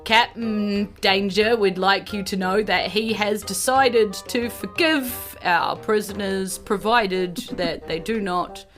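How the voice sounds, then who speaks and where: A woman's voice: mid-range voice, takes it slowly, hardly any pauses, fairly bright tone, faint music behind. A woman voicing a weary orc sailor in a tabletop game, relaying orders in a dry, formal way. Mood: resigned, formal.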